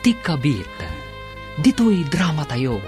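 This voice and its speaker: A man's voice: on the high side, very animated, takes it slowly, over music. A man with a Filipino accent narrating a radio drama in a scripted, dramatic style as announcer.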